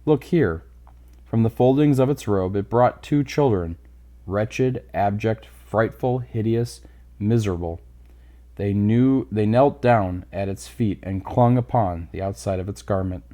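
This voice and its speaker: A man's voice: fairly low pitch, unhurried, warm tone. A man reading a classic story aloud as a dramatic narrator.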